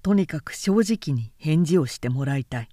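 A woman voicing a troubled, restrained husband in a period story, speaking gravely and haltingly. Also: low voice, expressive, warm tone, dry recording, clean studio sound, voice pushed down.

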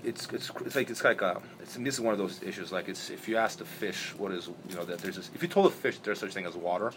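A man who grew up in Brazil, rambling in a relaxed interview with many restarts and fillers. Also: sits low, quick pace, slight background noise. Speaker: interview guest. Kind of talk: casual interview talk.

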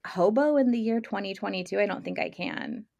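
A woman's voice: medium pitch, brisk, gravelly, darkish tone, lo-fi sound. A young woman guest co-host on a podcast call, irreverent and joking, blurting things out. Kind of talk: casual chat. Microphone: video call.

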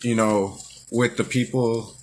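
A man's voice: mid-range voice, steady tone, slow pace, a little mellow, low-quality recording. A man in his twenties, from the American Southwest, speaking as a podcast guest in a hesitant way, filling his talk with "like" and "you know.". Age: 20s. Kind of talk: casual chat.

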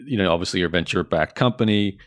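Deep-voiced podcast host asking questions. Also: male voice, very fast, no room sound. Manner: conversational, asks long probing questions. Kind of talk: podcast interview.

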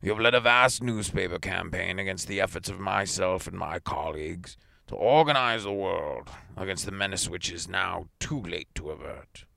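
A man reads the part of a stern elderly astronomer, voice very deep and gravelly, with a sharp, bright edge to it. He speaks in a formal, pedantic way, cold and indignant. The sound is dry and close. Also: normal speed, dry recording, heavily acted.

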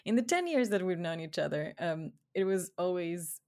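A woman's voice: fairly low pitch, lively intonation, moderate pace, a little mellow. A woman from Ecuador, probably in her thirties or forties, talking casually and expressively in an informal conversation.

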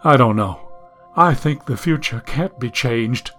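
A man playing a calm, reassuring modern man. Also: medium pitch, unhurried, soft background music, pitched below the performer's own voice. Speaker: audiobook narrator.

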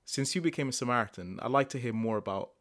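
A man's voice: medium pitch, lively intonation, quick pace, a bit bright. A man hosting a podcast, reading an earnest, carefully worded introduction and then chatting with his guest. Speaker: podcast host. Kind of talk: scripted introduction then casual chat.

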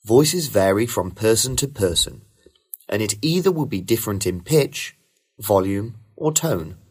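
A man reading rehearsed model answers for a speaking test in a smooth, even way. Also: fairly low pitch, a little slow. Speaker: sample test candidate.

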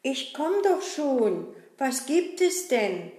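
A woman's voice: sits high, big pitch swings, takes it slowly, mellow, heavily acted. A woman voicing a greedy, good-natured puppet boy who chats away fussily and makes excuses. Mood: chatty. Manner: chatty, fussy, self-excusing. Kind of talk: puppet-show acting. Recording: roomy echo.

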